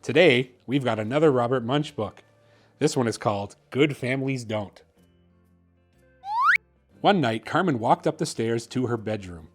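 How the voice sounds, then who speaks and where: A man hosting and narrating a children's bedtime story in an expressive, theatrical way. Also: medium pitch, normal speed, pauses often, soft background music. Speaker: host reading children's books aloud.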